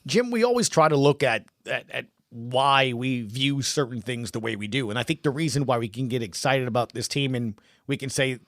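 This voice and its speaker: A man's voice: mid-range voice, moderate pace, few pauses, no echo, clean studio sound. A man hosting a sports podcast, talking informally and conversationally as he thinks aloud.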